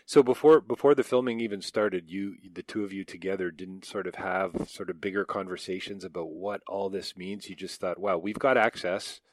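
Deep-voiced male radio interviewer. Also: normal speed, few pauses, dry recording. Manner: energetic, laughing, repeats words as he starts.

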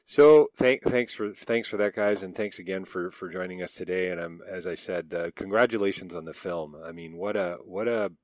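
A man hosting a radio interview, lively and laughing, restarting his sentences. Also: low voice, normal speed, hardly any pauses, no echo. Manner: energetic, laughing, repeats words as he starts.

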